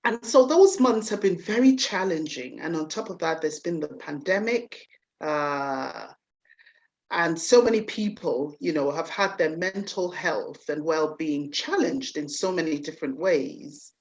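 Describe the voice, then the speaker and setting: A woman's voice: deep voice, lively intonation, measured pace. A woman from the UK hosting an interview, chatty and full of fillers as she sets up her questions. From United Kingdom. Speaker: talk show host. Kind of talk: interview conversation.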